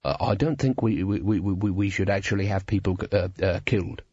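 A man's voice: mid-range voice, expressive, measured pace, darkish tone, breathy edge, no echo, muffled recording. A man voicing a timid, reluctant henchman in a storybook reading, with a heavy stammer and hesitant, polite delivery. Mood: anxious, protesting.

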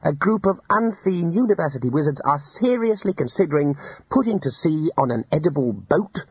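A man's voice: a little high, lively intonation, moderate pace, darkish tone, breathy edge, dry recording, pitched above the performer's own voice. A man reading aloud and voicing an earnest, exasperated young male wizard who stammers and pleads. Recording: dull lo-fi audiobook recording.

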